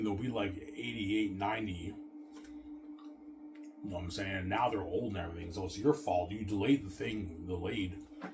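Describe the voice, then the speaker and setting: A man's voice: on the low side, background noise. A man in his thirties rambling to his viewers in a self-assured, repetitive way, often restarting his sentences. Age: 30s. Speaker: vlogger.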